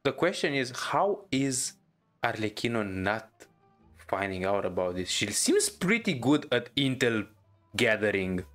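A man's voice: on the low side, sing-song, a little slow, a bit bright. A man giving casual, hesitant gameplay commentary, searching for his words. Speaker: gamer reacting to a story quest. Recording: game music behind. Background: game music and dialogue.

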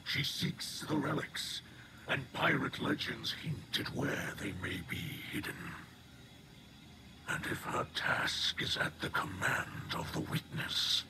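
An actor playing a solemn alien elder in a video game, with a breathy, whispery voice and slow, formal delivery. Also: male voice, medium pitch, lively intonation, background noise. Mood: urgent, foreboding. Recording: game audio with music behind.

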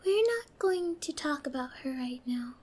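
A woman playing a young, obsessed, possessive girlfriend in an ASMR roleplay, sweet yet menacing, with a soft girlish voice and a coy, drawn-out delivery. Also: high-pitched, expressive. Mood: quietly menacing. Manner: slow, coy, drawn-out, slipping into whispers.

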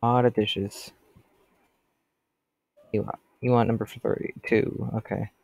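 A man's voice: fairly low pitch, level tone, slow, gravelly, a little mellow, dry recording. A teenage boy with a stuffy cold voice, rambling casually as he comments on his gameplay. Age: teens. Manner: chatty, rambling, talks to customers.

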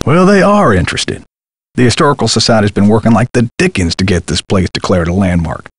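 Husky, lively old theater projectionist. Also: male voice, medium pitch, no echo. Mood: wistful, chatty. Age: older. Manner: folksy, old-fashioned turns of phrase. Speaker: game character voice actor. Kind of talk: scripted video game character dialogue.

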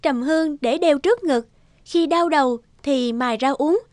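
A woman voicing a slim young peasant woman, guarded and earnest, in an animated moral tale. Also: high voice, normal speed, dry recording, clean studio sound, close to an everyday voice. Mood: firm, businesslike.

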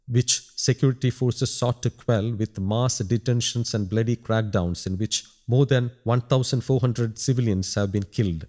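A man's voice: fairly low pitch, mellow. A man narrating a scripted news report as a voice-over.

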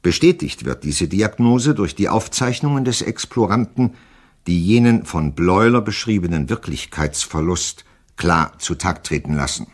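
An actor playing a formal, pedantic psychiatric expert who reads out a report with clinical, measured precision in an audio drama. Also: male voice, low voice, husky, exaggerated voice.

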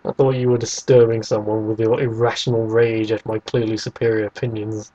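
A man's voice: on the low side, level tone, a little fast, dark tone, highs cut off. A young man hosting a casual podcast chat about games, teasing and sarcastic. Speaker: podcast host.

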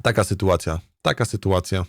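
A man's voice: deep voice, fairly quick, dry recording, studio quality. A man chatting casually as a game streamer, thinking aloud in a hesitant, rambling way and often repeating his words. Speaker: streamer, let's play host.

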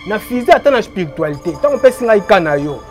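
A man with a notably high voice, a healer from Boma in Congo, explains his remedies in an interview. He repeats his phrases emphatically and lets them trail off quietly. Faint music plays underneath. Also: sing-song, fast talker. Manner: repetitive, emphatic, trailing off low. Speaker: traditional healer.

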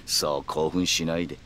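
Male cool, courteous gangster for a crime game: very low register, measured and formal, some ambient noise behind. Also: sharp tone, exaggerated voice. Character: calm, calculating yakuza man, coolly polite. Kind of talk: video game cutscene acting.

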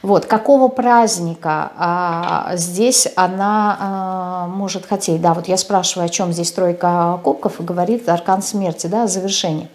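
A woman's voice: on the low side, steady tone, unhurried, few pauses, darkish tone. A woman in her thirties gives an unscripted tarot reading to camera, speaking hesitantly with frequent filler sounds and restarts, recorded at a desk in a small room. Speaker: tarot reader.

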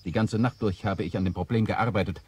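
A man's voice: on the low side, fairly even intonation, brisk, slight background noise, lightly acted. A man playing Albert, an eager male robot who builds other robots, in a 1970s radio play. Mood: earnest. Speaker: robot servant.

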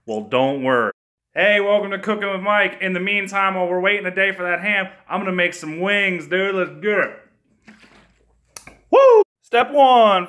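Crude joking young man cooking at home. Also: high voice. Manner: crude jokey deadpan asides, swears freely. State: hungover. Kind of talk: comedic cooking video. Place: home kitchen, indoors. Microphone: phone at arm's length.

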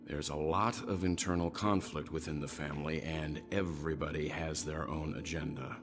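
A calm older gentleman from a video game with an extremely deep voice. He speaks formally and thoughtfully, weighing his words, over prominent music. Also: moderate pace. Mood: calm, pensive. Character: calm, grave, courteous older male traveler. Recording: game audio under music.

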